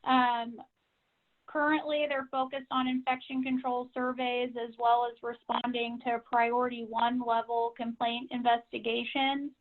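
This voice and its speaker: A woman with a high voice speaks over a thin, tinny phone connection. Her intonation stays flat and even as she gives an informational briefing on a conference call. The delivery is measured and businesslike, like a staff member updating members. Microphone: phone line. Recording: tinny, narrow-band phone line.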